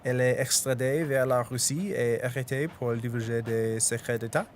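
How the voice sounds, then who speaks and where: A man's voice: mid-range voice, fairly even intonation, a little fast, lightly acted. An actor playing a courteous, matter-of-fact male passenger, a helpful stranger in a radio drama. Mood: polite, informative. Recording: noisy vehicle ambience.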